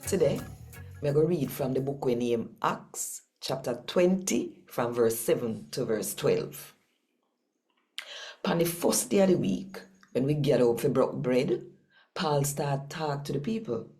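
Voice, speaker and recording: Jamaican woman host and scripture reader. Very deep, soft-edged mellow voice, deliberate delivery. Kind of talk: expressive scripture reading and channel talk in Patwa.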